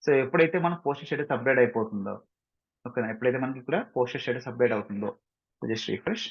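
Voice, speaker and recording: A man with an Indian accent giving an informal software tutorial, repeating himself, mixing in English terms and often checking that listeners follow. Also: medium pitch, very fast, slightly rough, lo-fi sound. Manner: repetitive, frequent check-in questions, mixes English terms into speech. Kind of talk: screen-share tutorial, informal explanation.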